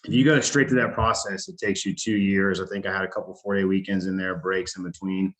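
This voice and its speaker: Man, forties, very deep voice, flat delivery, halting, lots of fillers. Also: low-quality recording. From United States. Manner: halting, many fillers and restarts. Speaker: veteran speaker. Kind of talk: casual talk to an audience.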